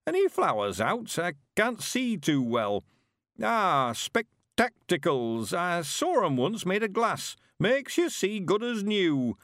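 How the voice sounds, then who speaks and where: A man voicing a frail ninety-one-year-old rustic man in an audiobook, speaking slowly in an old country dialect, eager to go courting. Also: high-pitched, very animated, fairly bright tone, no echo, studio quality, voice pushed up, exaggerated voice. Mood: anxious. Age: 90s. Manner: slow, creaky rustic dialect.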